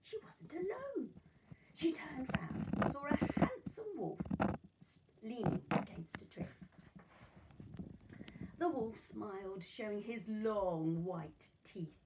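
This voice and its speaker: A woman narrates a children's story with deliberate, dramatic phrasing. Her pitch moves in lively swoops and her pace is unhurried. She is recorded at some distance, with faint noise. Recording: voice far from mic, noisy room.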